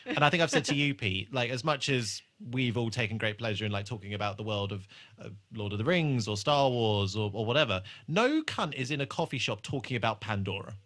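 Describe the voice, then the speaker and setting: A man with a bright, forward voice hosts a relaxed rewatch podcast. He talks in a joking, sweary style, like someone bantering with friends. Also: mid-range voice, brisk. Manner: sweary, jokey. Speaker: podcast host. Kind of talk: casual, banter-filled TV rewatch chat.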